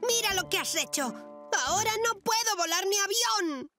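A woman's voice: very high-pitched, lively intonation, normal speed, pushed and bright, heavily acted. A woman voicing a cautious, well-behaved young boy in a children's cartoon, hesitant and plaintive, with a Castilian Spanish accent. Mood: worried, annoyed. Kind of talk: animated children's series dubbing. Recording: background music under the voice.

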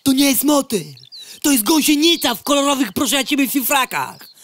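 A man's voice: very high voice, animated, brisk, fairly bright tone, exaggerated voice. A man playing a brash, bigoted male mosquito thug who rants in slang in a comic audio drama. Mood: indignant ranting. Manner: ranting, slangy, with a repeated catchphrase.

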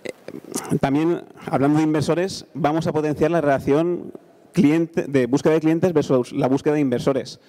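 A man's voice: on the high side, fairly even intonation, moderate pace, dark tone. A man in his thirties with an accent from Spain gives an informal, conversational conference presentation into a handheld microphone on stage. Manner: conversational, frequent check-in tags. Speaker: conference speaker, engineer presenting a startup accelerator.

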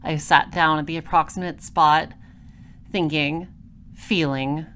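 A woman acts a composed female detective narrating her case in an audio drama. Her voice is a little low, measured and wry, on a recording with some faint noise. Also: steady tone, fairly bright tone. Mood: focused narration.

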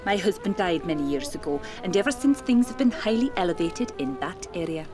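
A woman playing an elderly spirit medium in a period audio drama, prim and dryly teasing, speaking in a deliberate, proper way. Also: on the low side, sing-song, music in the background. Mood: earnest.

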